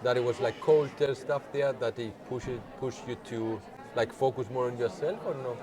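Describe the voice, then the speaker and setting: Podcast host man, mid-pitched voice. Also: steady tone, fairly quick, a crowd in the background. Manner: frequent soft backchannel, playful questions. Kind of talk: casual interview chat.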